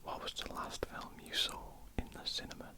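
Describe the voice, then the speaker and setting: A woman with a croaky voice, whispering ear to ear for an ASMR question-and-answer video. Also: a little fast. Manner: soft unvoiced whisper with frequent ums. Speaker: ASMR artist. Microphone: ear-to-ear stereo mic.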